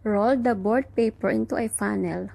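A young woman teaching children in church, giving gentle, careful craft instructions. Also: medium pitch, normal speed, creaky. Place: small room at home, indoors.

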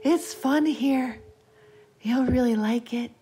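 A woman voicing a sinister spirit that poses as a sweet little girl, drawn-out and coaxing. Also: sits high, warm tone, a little hiss or hum, voice pushed up. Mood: eerily sweet.

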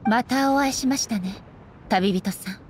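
A woman playing a solemn, resolute warrior goddess in a Japanese anime game, speaking formally in a grave, measured manner. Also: fairly high pitch, heavy background noise, lightly acted. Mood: stern, grave. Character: solemn, resolute warrior goddess and her stern puppet shogun.

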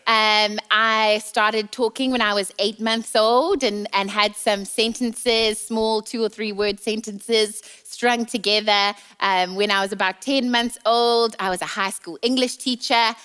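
South african woman in her 30s preaching, bright clear voice, a bit high, teasing and chatty. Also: fairly even intonation, unhurried, few pauses. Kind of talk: sermon. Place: large church auditorium stage.

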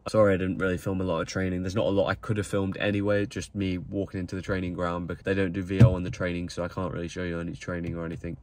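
Teen male vlogger, low flat voice, laid-back chatty talk, phone at arm's length, faint background noise. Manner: relaxed, chatty, low-key. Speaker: vlogger, youth footballer. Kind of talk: casual vlog to camera. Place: gym, kitchen, bedroom and car. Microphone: phone held at arm's length.

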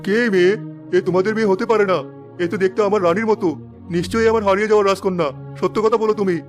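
A cartoon king, high-pitched and talking at a breakneck clip, commanding and earnest, as a man voices him. Music plays clearly behind him. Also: fairly even intonation, very fast, natural voice. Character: grieving king searching for his lost daughter. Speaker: voice actor. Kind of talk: cartoon voice acting. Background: background music.

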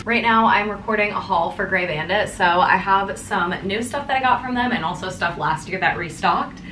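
A woman's voice: medium pitch, normal speed, sharp tone, soft background music. Young American woman vlogging in an echoey room. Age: 20s. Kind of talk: casual vlog chat. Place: indoors at home, rooms and kitchen. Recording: roomy home echo, some background noise.

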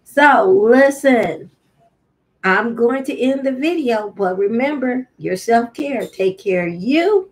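A middle-aged woman giving a deliberate demonstration for parents of babies, sometimes in singsong baby talk, recorded at home on a webcam microphone. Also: a little high, lively intonation. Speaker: early childhood teacher, host of infant read-along. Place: room at home.

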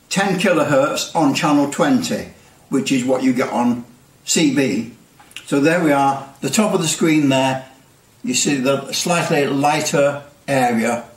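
An older man in his seventies with a British accent, casually explaining technical things to camera and sometimes repeating his words. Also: medium pitch. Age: older, around 70s. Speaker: presenter of a CB radio channel. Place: small indoor workshop with bare brick walls. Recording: roomy echo, distant microphone.

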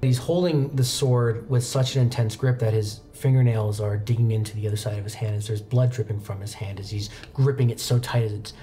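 Mellow young man narrating over music. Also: medium pitch, steady tone, a little fast, hardly any pauses. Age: young adult. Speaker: game master. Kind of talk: narrating a tabletop roleplaying game. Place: indoor studio set, seated at a desk. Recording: background music under the voice.

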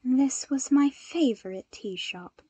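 A woman voicing a dignified young female superhero in a podfic, measured and polite with dry exasperation. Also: sits high, warm tone, breathy edge, no echo, dull audio, voice pushed up, full character voice. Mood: weary, composed.

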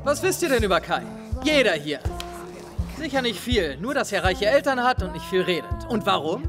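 A man's voice: high voice, very animated, moderate pace, music in the background. A young man playing a smug, scheming teenage schoolboy in an audio drama, with a taunting, theatrical manner. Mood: accusing. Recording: long reverberant hall sound.

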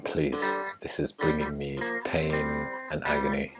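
A man in his twenties from Egypt with a husky voice, joking casually with strangers on video chat as a YouTuber. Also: extremely low pitch, completely flat delivery, unhurried, loud music behind the voice. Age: 20s. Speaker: YouTuber hosting random video chats. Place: living room at home.

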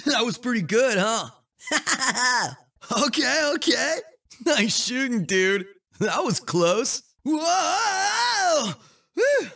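Casting: a cocky young cheetah racer in a cartoon game, goofy surfer-dude delivery, very animated and bright. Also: male voice, extremely high pitch, drawn-out words, vocal fry, full character voice. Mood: laid-back, sheepish. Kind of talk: exaggerated cartoon game voice clips.

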